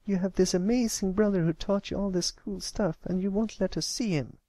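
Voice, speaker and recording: A performer voicing a brash filly, pitched up. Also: a little fast, mellow, no room sound, close to an everyday voice. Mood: accusing. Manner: blunt, challenging. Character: brash, accusing young tomboy filly. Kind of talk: acted fan fiction reading.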